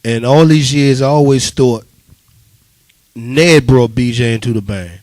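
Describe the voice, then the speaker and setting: A man's voice: mid-range voice, measured pace, darkish tone, no room sound. Mid-voiced man interviewing in dry studio. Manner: informal, conversational, frequent acknowledgements and restatements. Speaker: radio interviewer. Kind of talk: casual radio interview. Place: radio studio.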